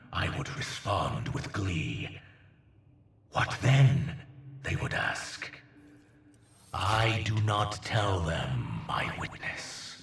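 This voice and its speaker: Deep, grandiose alien villain. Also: male voice, gravelly, echoey room, distant mic, heavily acted. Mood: gloating menace. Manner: grandiose, theatrical, deliberate, dropping into hushed emphasis. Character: proud, menacing alien conqueror devoted to his master. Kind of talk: dramatic video game villain monologue.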